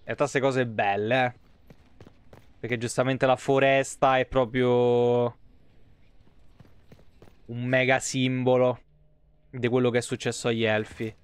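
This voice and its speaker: Guy in his 30s streaming a game, clean smooth voice, swears a lot, dry wry chatter. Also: mid-range voice, little pitch movement, measured pace, pauses often, no echo. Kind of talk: casual live gameplay commentary.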